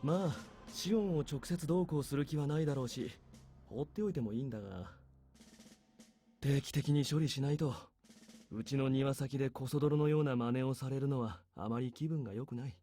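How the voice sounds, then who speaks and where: A man voicing a calm, calculating military major in a visual novel, speaking with dry, understated authority. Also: mid-range voice, racing, faint music behind, close to an everyday voice. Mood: dry, wry. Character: calm, calculating male military major giving covert orders.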